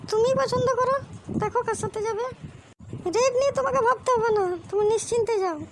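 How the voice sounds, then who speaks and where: Casting: woman for pushy businesslike female hustler, comic cartoon, very squeaky voice, clearly noisy amateur recording. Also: fast talker. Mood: coaxing, pushy. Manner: coaxing, businesslike, insistent. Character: pushy, hard-bargaining woman hustler in crude comedy cartoon.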